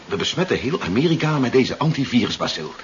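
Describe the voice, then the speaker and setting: A man's voice: mid-range voice, sing-song, fast talker, close to an everyday voice. A man playing a resolute, authoritative resistance leader in a 1970s radio drama. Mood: grave, matter-of-fact. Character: resolute, commanding male leader of a resistance war. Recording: muffled 1970s radio recording, noisy.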